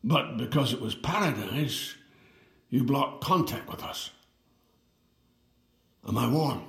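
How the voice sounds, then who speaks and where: An actor playing an aged, weary twin brother in an audio drama, speaking in a halting, wry, reflective way. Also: male voice, mid-range voice, expressive, vocal fry, reverberant. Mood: measured, wry. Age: older. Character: aged, weary twin brother reunited with his lost sibling.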